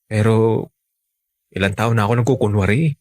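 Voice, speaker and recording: A Filipino man acting in a radio romance drama as a smooth-talking, unfaithful married man, earnest and persuasive. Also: on the low side, normal speed, a little mellow, no room sound, clean studio sound, natural voice. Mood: earnest pleading. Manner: coaxing, earnest.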